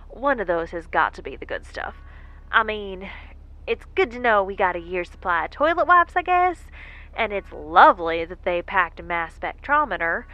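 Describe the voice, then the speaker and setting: A woman's voice: medium pitch, sharp tone, no echo, poor audio. A woman playing a stranded, injured space explorer in a sci-fi audio drama, with a wry, folksy way of muttering asides.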